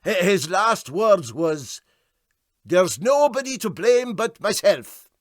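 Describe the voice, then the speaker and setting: Penitent Scottish sea captain, performed by a male reader, flustered and lamenting, higher-pitched, very animated, comic storybook. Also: slow pace, no echo, studio quality, exaggerated voice. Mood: flustered excuse. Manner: stammering, lamenting. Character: penitent, flustered steamboat captain, guilt-ridden and pleading. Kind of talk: comic storybook character reading.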